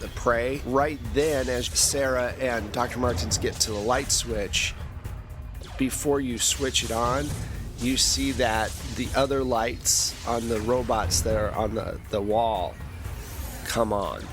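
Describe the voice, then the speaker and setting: A man's voice: mid-range voice, fairly even intonation, takes it slowly. A man narrating a tabletop role-playing game as game master, in a conversational, improvised way. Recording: loud music bed behind voice. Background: music.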